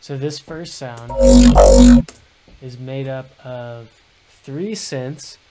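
A man's voice: very slow, a little mellow. A young man, a music producer, giving a laid-back, casual screen-share tutorial. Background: synth bass sounds.

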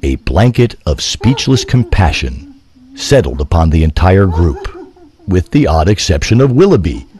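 A man's voice: low voice, sing-song, normal speed, dark tone. A man narrating a scripted story in an ornate, theatrical style.

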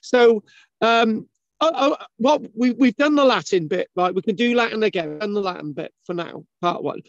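A man's voice: sits high, moderate pace, no room sound. A man hosting a casual video-call discussion, rambling with restarts and asking "right?" often. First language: English. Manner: rambling, many restarts and fillers, asks "right?". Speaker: host. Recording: dull lo-fi video call audio.

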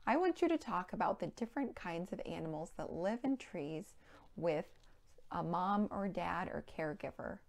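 An American woman in her thirties presenting a children's storytime, speaking clearly and animatedly in simple phrases to young children. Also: fairly low pitch, animated, normal speed. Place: indoor children's play room.

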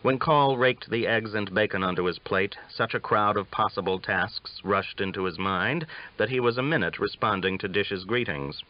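Low-voiced man narrating a western novel. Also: normal speed, few pauses. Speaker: audiobook narrator. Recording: dull lo-fi audiobook recording.

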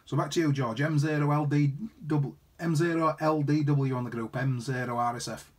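A man with a husky voice, an amateur radio operator chatting casually in friendly, jargon-filled radio talk. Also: on the high side, fairly even intonation, fairly quick. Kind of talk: casual ham radio chat. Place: indoors at his radio set.

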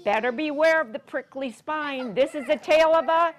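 A woman's voice: sits high, normal speed. An American woman in her sixties reading to young children at story time in a teacherly, sing-song way, often prompting with questions. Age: 60s. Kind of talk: reading a picture book aloud to young children, interactive. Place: carpeted library program room, indoors.

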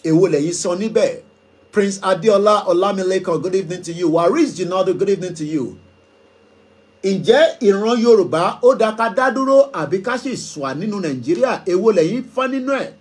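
Man, Yoruba Nigerian accent, fairly high voice, emphatic, radio host reading comments. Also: fairly quick. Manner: emphatic, questioning, switches into Yoruba. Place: studio room before green screen.

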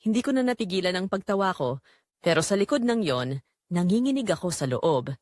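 A woman's voice: fairly low pitch, lively intonation, brisk, a little mellow, no room sound, studio quality, lightly acted. A woman playing a guilt-ridden young woman who confesses a forbidden love in an emotive, dramatic audio drama narration. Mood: tense longing.

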